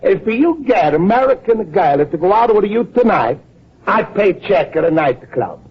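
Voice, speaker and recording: An actor playing a boastful, teasing Italian immigrant with a husky voice and broken English in an old-time radio comedy. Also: male voice, high-pitched, very animated, normal speed, full character voice. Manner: taunting, swaggering, broken English. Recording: muffled old radio broadcast recording.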